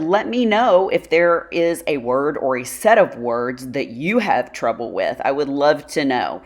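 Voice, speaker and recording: Texan lady host, really low voice, chatty and drawly, laughs a lot. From Dallas, Texas. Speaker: channel host. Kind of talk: casual chat to viewers.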